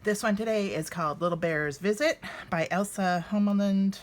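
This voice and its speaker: A woman's voice: medium pitch, normal speed. An American woman in her fifties doing an informal children's read-aloud, with hesitant, rambling asides. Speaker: story reader for children.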